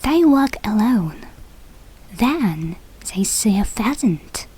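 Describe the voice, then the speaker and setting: Female storybook reader, non-native English speaker. Soft-edged husky tone, extremely unhurried, careful delivery with expressive pitch movement. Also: low-quality recording. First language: not English. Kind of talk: slow read-aloud of a children's fairy tale.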